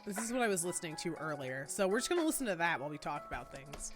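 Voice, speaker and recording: A woman's voice: fairly low pitch, sing-song, rapid-fire, over music. A woman streamer chatting casually with lots of fillers, sounding congested and worried. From Canada. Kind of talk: casual chat.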